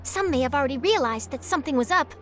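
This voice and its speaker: A woman's voice: sits high, lively intonation, fast, a bit bright, soft background music. A woman voicing an eager, chatty young female journalist in a video game, breezy and persuasive. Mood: animated, confiding. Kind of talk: video game character dialogue.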